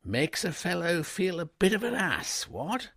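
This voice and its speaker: A man voicing a bright, excitable upper-class young English gentleman who stammers and chatters in breezy slang. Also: sits high, unhurried, vocal fry, husky, pitched above the performer's own voice, heavily acted. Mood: flustered.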